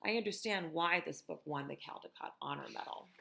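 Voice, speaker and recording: An American woman reading a picture book aloud to children in a gentle, expressive teacher's manner, with conversational asides. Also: low voice, fairly quick, gravelly. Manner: gentle, expressive, asks listeners questions.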